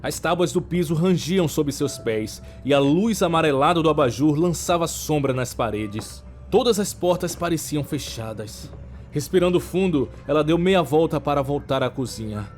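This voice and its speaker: A man with a Brazilian accent narrating a scripted horror story in a measured, dramatic way. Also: a little high, expressive, a little mellow, soft background music.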